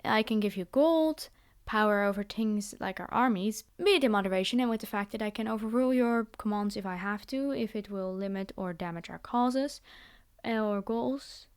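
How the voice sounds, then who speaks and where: A woman's voice: mid-range voice, normal speed, smooth, natural voice. A woman playing a commanding vampire girl in an ASMR roleplay, speaking directly to her human captive with condescending, teasing reassurance. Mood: businesslike. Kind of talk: scripted ASMR roleplay monologue.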